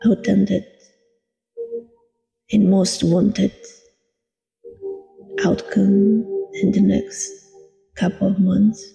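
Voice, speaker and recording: A woman guiding a meditation in a soothing, deliberate manner, leaving long pauses between short phrases. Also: mid-range voice, little pitch movement, creaky, warm tone. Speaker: meditation guide, coach. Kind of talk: guided meditation narration. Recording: long reverb with music behind. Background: meditation music.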